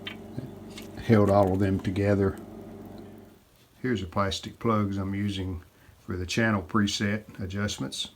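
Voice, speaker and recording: A man narrating a hands-on restoration how-to in a casual, plainspoken way, often pausing mid-sentence. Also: fairly low pitch, level tone, a little hiss or hum. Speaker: host of a restoration how-to video.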